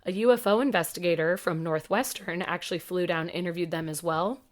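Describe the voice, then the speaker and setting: A woman in her thirties co-hosting a podcast, telling a story in a casual, animated way. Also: fairly low pitch, fairly quick. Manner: animated, swears, acts out quotes. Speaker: podcast co-host.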